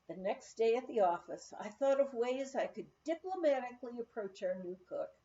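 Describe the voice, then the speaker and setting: A woman reading a humorous memoir as scripted narration, with expressive storytelling. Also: a little high, dull audio. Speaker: audiobook reader.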